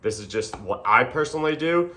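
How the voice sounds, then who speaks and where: A man with a stuffed-up, nasal voice talking casually to camera, relaxed and hesitant, often saying um and clearing his throat. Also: mid-range voice, brisk. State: congested, nasal from a sinus infection. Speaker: video creator. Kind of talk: casual explainer talk.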